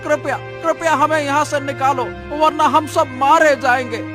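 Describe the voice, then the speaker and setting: A man's voice: extremely high pitch, fairly even intonation, normal speed, over music. A man playing a captive prisoner in a cartoon story, speaking in an urgent, effusive, repetitive way. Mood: desperate pleading. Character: frightened, pleading captive human prisoner. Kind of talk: dramatic animated story dialogue.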